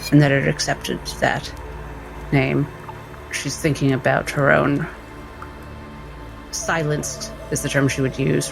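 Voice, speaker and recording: Male role-player, gravelly fry, reflective halting delivery describing a character, music underneath. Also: a little high, rough recording. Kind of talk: thoughtful third-person narration of own character. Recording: music behind the voice.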